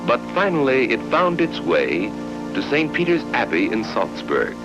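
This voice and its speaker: A man narrating a vintage educational film from the 1950s in a measured, formal storytelling style, on an old film soundtrack. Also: medium pitch, wildly swooping pitch, highs cut off. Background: music.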